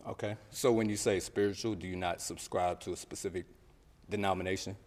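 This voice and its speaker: A man's voice: sits low, fairly even intonation, brisk, darkish tone, big-room sound. A man on a dating game show, explaining his picks bluntly but politely. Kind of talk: casual chat.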